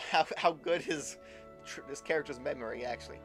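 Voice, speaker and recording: A man giving casual, halting live commentary on a game, musing aloud and trailing off into asides. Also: a little high. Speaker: game streamer. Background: faint game music.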